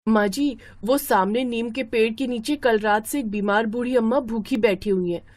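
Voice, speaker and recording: A woman playing a kind, patient young village mother in a moral story, speaking gently and earnestly. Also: a little high, normal speed, no echo, lightly acted. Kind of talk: acted moral-story dialogue.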